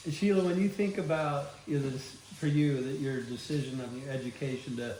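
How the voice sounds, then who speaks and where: A man moderating a live event, asking friendly, halting questions. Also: mid-range voice, fairly even intonation, a little mellow, slight background noise. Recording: echoey room, voice from afar.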